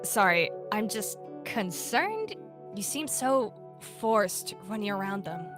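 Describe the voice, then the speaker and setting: A woman's voice: mid-range voice, big pitch swings, takes it slowly. A woman voicing an earnest young male apprentice cat in a story roleplay, pleading and hesitant as he frets over his mentor. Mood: concerned. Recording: music behind the voice.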